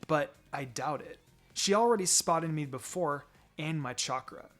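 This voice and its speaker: Higher-voiced man reading a story over music. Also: a little slow. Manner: expressive, voices the dialogue. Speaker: fan fiction reader. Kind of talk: dramatic scripted story narration. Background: background music.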